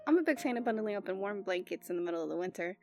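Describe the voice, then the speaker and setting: A woman's voice: medium pitch, expressive, rapid-fire, over music. A woman hosting her ASMR channel, talking casually and in an easygoing way to listeners. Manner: easygoing, says y'all. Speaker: ASMR voice actor, channel host. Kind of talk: casual outro chat to listeners.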